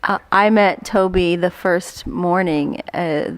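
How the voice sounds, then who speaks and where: Woman w slightly low voice, chatting thru a story in an interview, informal, teasing. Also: slow pace.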